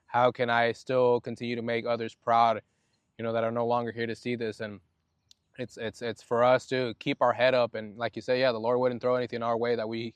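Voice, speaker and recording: Smooth-voiced man, reflective and halting. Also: medium pitch, flat delivery, no room sound. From Oceanside, California. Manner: reflective, halting, soft-spoken. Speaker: podcast guest, musician. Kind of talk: casual podcast conversation.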